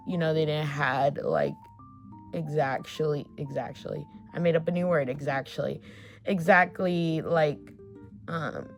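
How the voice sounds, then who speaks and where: Low-voiced, slow-talking young woman vlogger. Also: creaky. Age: 20s. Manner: chatty, filler-heavy, swears casually. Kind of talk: casual get-to-know-me chat.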